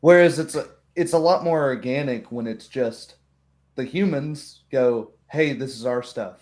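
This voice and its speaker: A man's voice: mid-range voice, unhurried, a little mellow. A man in casual group chat, speaking in an easygoing, agreeable way.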